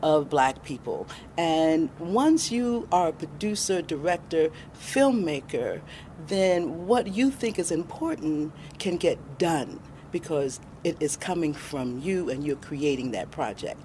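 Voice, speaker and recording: An American woman in her sixties answering interview questions in an expressive, emphatic storytelling way. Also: sits low, takes it slowly, a little hiss or hum. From born in Alabama, raised in Chicago, USA. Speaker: interview guest, filmmaker.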